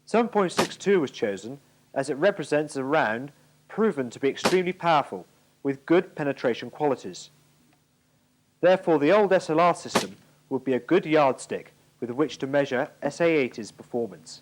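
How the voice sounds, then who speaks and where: A man's voice: on the high side, animated, normal speed, noisy background. A British man giving formal, precise scripted narration for an instructional military training film from the 1980s.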